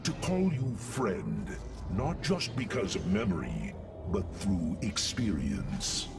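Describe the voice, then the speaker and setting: An actor playing a proud, honorable machine warrior in a science fiction game, with a gravelly, dignified delivery. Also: male voice, big pitch swings, over music, heavily acted. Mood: warm, dignified. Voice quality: very deep, gravelly. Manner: dignified, deliberate. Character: proud, honorable robotic alien warrior, loyal friend. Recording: distant sound, cinematic score behind.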